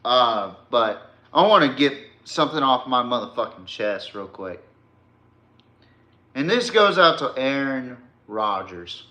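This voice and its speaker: A man's voice: medium pitch, big pitch swings, unhurried, sharp tone. A man hosting a casual sports podcast, talking informally to a stream audience in a rambling, emphatic way. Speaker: podcast host. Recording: echoey room.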